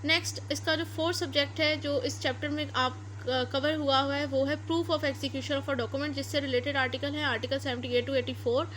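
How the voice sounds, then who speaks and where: A woman's voice: high-pitched, fairly bright tone, a little hiss or hum. A woman with a Pakistani accent lecturing on law point by point, mixing in English legal terms.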